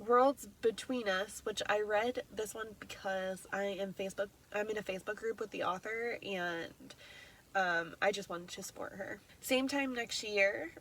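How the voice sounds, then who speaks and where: A woman's voice: medium pitch, a bit bright, a little hiss or hum, rough recording. A young woman with a stuffy, nasal voice from congestion, chatting casually through a list of books she read, with frequent ums and self-corrections. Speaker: book vlogger. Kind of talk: casual reading wrap-up.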